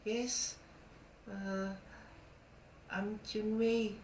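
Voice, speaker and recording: A man's voice: high voice, flat delivery, drawn-out words, darkish tone, distant mic, slight background noise, voice pushed up. Young man putting on a pained teenage ghost. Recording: roomy echo.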